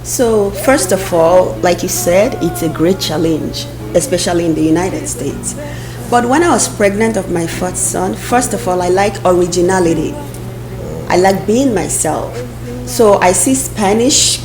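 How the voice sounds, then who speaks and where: Earnest woman over loud music. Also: on the low side, unhurried. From Nigeria. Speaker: interview guest. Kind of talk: conversational interview.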